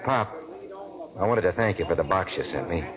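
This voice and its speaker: An actor playing a laconic, self-assured, easygoing hustler in a 1940s radio drama. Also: male voice, sits low, animated, a crowd in the background. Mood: friendly. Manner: laconic, unhurried. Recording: noisy, dull vintage radio broadcast.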